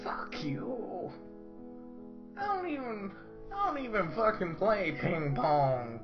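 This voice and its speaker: Amateur female dub performer: slangy, crude improv, unhurried, lo-fi sound with music. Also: mid-range voice, sing-song. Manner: foul-mouthed, slangy. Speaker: amateur fandub voice actor. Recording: dull lo-fi sound under loud music.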